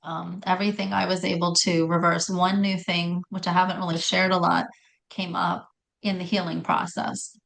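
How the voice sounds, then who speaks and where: A middle-aged woman with a raspy voice telling her personal story in conversation, often stopping to correct herself. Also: lowish voice, level tone, moderate pace, a bit bright. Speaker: guest telling health story.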